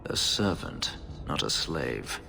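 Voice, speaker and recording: A brooding elven ex-slave. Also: male voice, very deep voice, big pitch swings, slow pace, gravelly, bright, forceful tone, exaggerated voice. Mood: cold. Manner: grave, clipped, controlled intensity. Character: brooding elven male ex-slave warrior, bitter. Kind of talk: video game voice acting. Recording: game audio with reverb and music.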